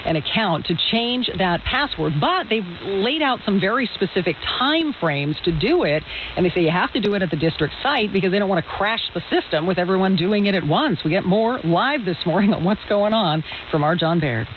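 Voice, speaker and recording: A woman with a mid-pitched voice anchors radio news in a conversational style. She is heard through a phone-like band with clear static. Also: animated, a little fast. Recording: tinny, noisy narrow-band radio broadcast.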